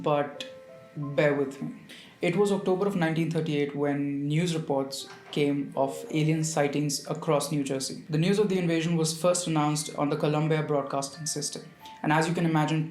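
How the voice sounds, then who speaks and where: A man's voice: fairly high pitch, a little mellow. Roomy-sounding man narrating a video essay. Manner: storytelling, addresses the viewer directly. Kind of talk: conversational scripted narration. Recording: roomy voice with background music.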